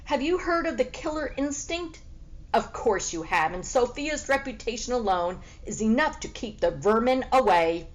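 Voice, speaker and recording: A woman's voice: a little high, lively intonation, moderate pace, pitched above the performer's own voice, close to an everyday voice. A woman voicing a haughty, boastful female cat in a storybook, sassy and sarcastic, with grand self-important phrasing. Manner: sassy, grandly refers to herself in third person.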